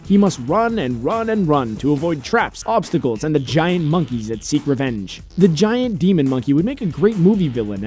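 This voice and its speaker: A man narrates a scripted countdown video. His voice sits a little high and moves with very lively intonation, yet the tone stays soft-edged and mellow. Music plays behind him. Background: background music.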